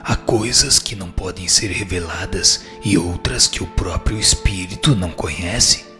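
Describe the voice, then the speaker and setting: A man's voice: sits low, normal speed, lightly acted. A man with a Brazilian accent playing a wise, firm spirit who gives formal, didactic answers in a scripted radio drama. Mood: solemn, instructive. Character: instructive male spirit, wise, firm and solemn. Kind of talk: scripted dialogue, formal reading. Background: music.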